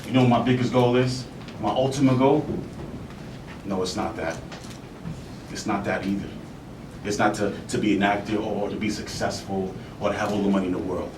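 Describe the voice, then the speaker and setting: A man performs an embittered bar owner and father in a dramatic monologue, confrontational and full of self-blame. He speaks haltingly and hammers phrases by repeating them. The mic is distant, the room echoes, and a clear rumbling noise sits underneath. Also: fairly even intonation, close to an everyday voice. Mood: earnest, yearning.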